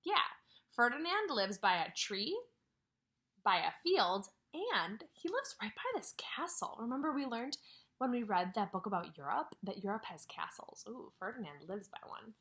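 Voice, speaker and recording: Female kindergarten teacher, somewhat high and bright; animated read-aloud that asks questions and answers them. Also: moderate pace. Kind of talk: read-aloud lesson for young children. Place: at home.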